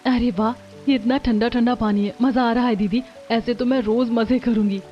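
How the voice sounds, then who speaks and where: A woman's voice: a little high, fairly even intonation, moderate pace, muffled recording, soft background music, close to an everyday voice. A woman voicing a sweet, grateful, emotional young daughter-in-law in a cartoon story. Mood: delighted.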